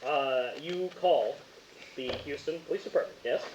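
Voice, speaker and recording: A man with a slightly high voice narrates a tabletop game in a casual, halting way. He is the game master, talking informally. The recording is muffled and echoey with faint hiss. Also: darkish tone.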